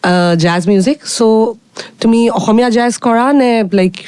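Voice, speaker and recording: A young woman with a husky voice with a low baritone edge. She is a singer talking in a rapid, chatty way, often saying "like" and "you know". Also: a little mellow, no echo. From Guwahati, Assam, India. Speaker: independent singer and co-host. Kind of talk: casual conversation.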